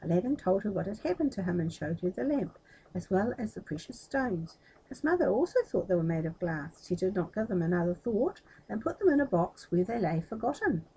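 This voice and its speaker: A woman reading a children's story aloud in an expressive, explanatory way. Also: mid-range voice, husky, low-quality recording.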